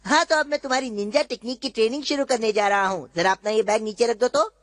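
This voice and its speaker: A woman's voice: on the high side, quick pace, no echo, natural voice. A woman voicing a sly, bossy trickster ninja in a Hindi cartoon dub, cocky, wheedling and mocking.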